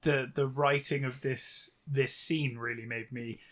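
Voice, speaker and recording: A man's voice: medium pitch, fairly even intonation, a little slow, fairly bright tone. A man co-hosting a podcast, casually recapping a book and often repeating phrases for emphasis. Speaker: podcast co-host.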